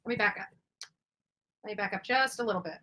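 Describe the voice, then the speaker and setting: A woman hosting a true-crime livestream, commenting over footage in a halting, emphatic way with repeated words. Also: mid-range voice, sharp tone. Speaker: livestream host.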